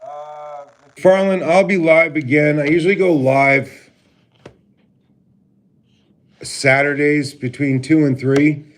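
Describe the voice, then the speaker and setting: A man with a deep voice hosting a casual livestream, greeting viewers by name and talking with many halting filler pauses. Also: steady tone, slight background noise. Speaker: livestream host. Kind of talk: casual live stream chat with viewers. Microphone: laptop mic.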